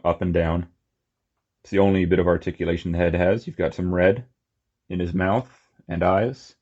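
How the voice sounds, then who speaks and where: Low-voiced man giving a casual review. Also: gravelly, dark tone, slightly rough, dull audio. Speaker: toy collector reviewing figures. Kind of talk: casual unscripted hands-on review.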